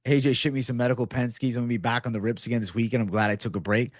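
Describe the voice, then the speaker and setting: A man's voice: medium pitch, steady tone, rapid-fire, no room sound, studio quality. Laid-back young man on a livestream. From American. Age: young adult. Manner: laid-back, slangy, says bro a lot. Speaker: MMA livestream host. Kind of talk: casual livestream chat reading superchats. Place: home studio room with shelves. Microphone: desk microphone in front.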